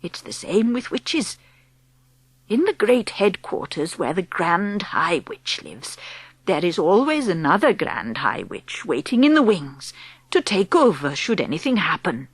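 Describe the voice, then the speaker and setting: A woman voicing a gentle, wise grandmother in her eighties, speaking slowly in a soft, whispery hush. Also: on the low side, sing-song, slightly rough, no room sound, exaggerated voice. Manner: slow and hushed.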